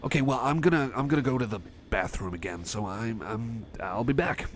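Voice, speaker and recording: A man's voice: mid-range voice, expressive, a little fast, a little hiss or hum, lightly acted. A man playing a shy, anxious, apologetic cyborg friend in an ASMR roleplay, speaking in a hesitant, nervous stammer. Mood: awkward, bashful. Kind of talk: ASMR roleplay, personal attention.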